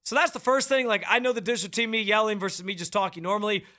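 Loud, bright-voiced sports radio man. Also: high-pitched, rapid-fire. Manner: loud, projecting delivery; fired-up, opinionated. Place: radio studio. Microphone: studio microphone, close.

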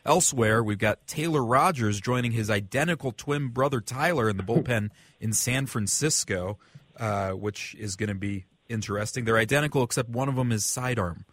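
A man's voice: mid-range voice, normal speed, a bit bright, no echo. A man with an American accent co-hosting a radio sports show in a casual, conversational style.

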